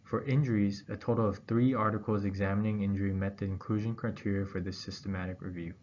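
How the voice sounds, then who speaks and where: A man reads a scripted academic presentation aloud in a formal, halting way, pausing between phrases. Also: lowish voice, steady tone, a little mellow. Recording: dull, muffled audio with background noise.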